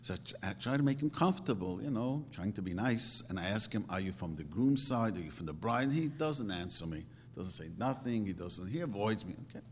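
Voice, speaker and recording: Man preaching, very lively pitch, emphatic, long hall reverb. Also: fairly quick, hardly any pauses, a little hiss or hum. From grew up speaking Yiddish and Hungarian. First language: Yiddish. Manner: emphatic, swings from low asides to loud outbursts. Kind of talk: sermon.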